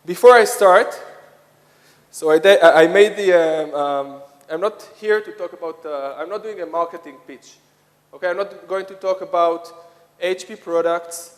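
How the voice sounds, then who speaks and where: Halting Israeli man presenting in a reverberant hall. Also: sits high. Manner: halting, frequent hesitations and repeated phrases. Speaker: conference speaker, software product lead. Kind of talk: conference presentation to an audience. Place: conference hall, speaking to an audience. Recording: echoey hall with long reverb.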